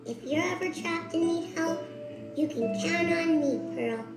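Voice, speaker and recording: An actor voicing a small, sweet pond creature in a children's cartoon, with a gentle, deliberate manner. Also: very high voice. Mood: grateful. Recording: roomy sound with faint music.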